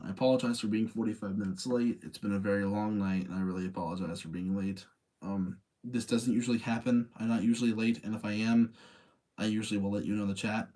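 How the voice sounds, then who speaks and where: A man's voice: fairly low pitch, a little mellow. A man hosting a livestream, chatting casually in an apologetic, rambling way. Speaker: livestream host.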